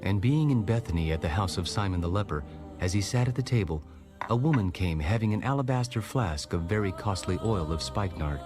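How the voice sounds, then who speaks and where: A man narrating a dramatized audio Bible as a solemn storyteller, formal and measured. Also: low-pitched, animated. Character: solemn male scripture narrator. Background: clear background music.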